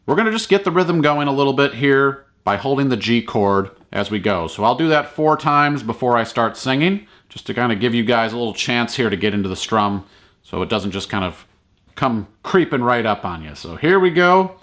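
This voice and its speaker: A man's voice: mid-range voice, expressive, moderate pace, fairly bright tone. A man giving a casual, encouraging play-along ukulele tutorial in an easygoing, informal way. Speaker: ukulele teacher and online video host.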